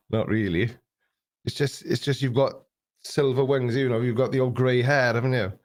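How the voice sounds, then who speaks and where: A man's voice: mid-range voice, steady tone, moderate pace. A man in his late forties hosting a live stream, chatting loosely and joking at his own expense as he reads viewer comments, with an occasional cough. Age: 40s. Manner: chatty, jokey, self-deprecating, reads viewer comments aloud. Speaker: YouTube host. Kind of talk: live stream chat reading.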